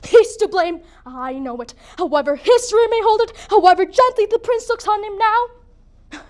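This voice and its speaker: A woman's voice: high-pitched, expressive, a little slow, darkish tone. A woman performing a dramatic monologue as a grieving, bitter, proud young noblewoman mother, with an emotional theatrical delivery. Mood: accusing, outraged. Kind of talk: dramatic monologue for speech competition.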